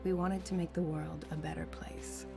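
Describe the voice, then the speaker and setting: Very creaky, grave older aunt. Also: female voice, deep voice, fairly even intonation, moderate pace. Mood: somber regret. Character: grave, regretful aunt, a scientist and executive. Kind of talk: scripted dramatic game narration. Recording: loud music behind.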